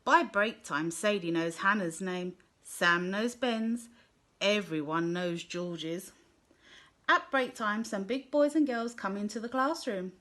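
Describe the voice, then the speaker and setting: A woman reading a children's picture book aloud in a gentle, clear storytelling manner. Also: mid-range voice, takes it slowly. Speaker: storybook reader.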